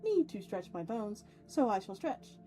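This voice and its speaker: A woman's voice: medium pitch, sing-song, measured pace, warm tone, soft background music. A woman hosting a casual livestream, chatting with viewers in an effusive, playful way with theatrical turns of phrase. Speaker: VTuber livestream host.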